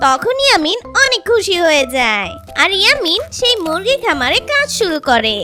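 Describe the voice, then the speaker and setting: A children's story narrator with a very high, childlike voice. The scripted telling is lively in pitch and runs over music. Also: normal speed.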